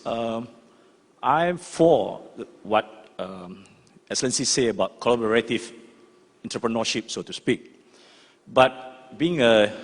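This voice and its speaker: Halting Malaysian man in a reverberant hall. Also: medium pitch, fairly bright tone. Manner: halting, deferential. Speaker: audience questioner, member of parliament. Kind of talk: asking a question at a public forum. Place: large hall, public forum. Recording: husky-edged sound in reverberant large hall.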